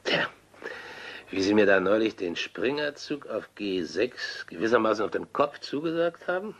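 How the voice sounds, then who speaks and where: An actor playing a genial, courteous retired gentleman, chatty and wryly amused, in a German radio play. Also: on the low side, sing-song, moderate pace. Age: older.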